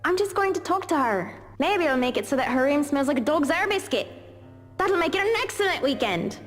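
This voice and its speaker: A woman's voice: sits high, sing-song, fairly quick. A woman voicing a brash, protective teenage schoolgirl who talks tough, cocky and teasing. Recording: reverberant game audio with music.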